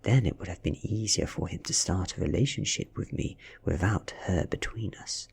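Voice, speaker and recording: A man with a British accent softly reads a story in ASMR style, breathy and often slipping into a whisper, with measured, dramatic delivery. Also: low-pitched, a little hiss or hum. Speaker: ASMR reader. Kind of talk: soft-spoken ASMR audiobook reading.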